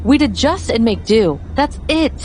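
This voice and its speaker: High-pitched, insistent young wife. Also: female voice, big pitch swings, unhurried, darkish tone, a little hiss or hum. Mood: insistent, hurt. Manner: insistent, reproachful. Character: determined, accusing young wife who challenges her husband. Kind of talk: audio drama acting.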